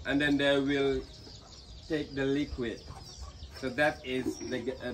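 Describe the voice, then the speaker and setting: A man with an Indonesian accent, a local tour guide who casually explains pottery making to tourists, joking and apologizing. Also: mid-range voice. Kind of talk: guiding tourists, explaining a craft. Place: outdoors in a pottery village. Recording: distant outdoor camera sound, rooster noise. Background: roosters and village ambience.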